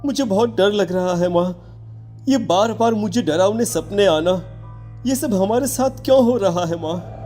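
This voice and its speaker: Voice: mid-range voice, measured pace, mellow, faint music behind, lightly acted. A performer voicing a timid teenage schoolboy in a horror story, fearful and hesitant as he speaks. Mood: scared.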